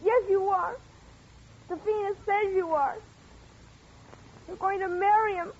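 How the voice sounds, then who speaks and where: A woman's voice: fairly even intonation, a little slow. A woman playing a scared, grieving little boy. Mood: tearful. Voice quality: high childlike voice. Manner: pleading and accusing. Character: frightened grieving young boy. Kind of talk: old-time radio drama. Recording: dull lo-fi radio recording.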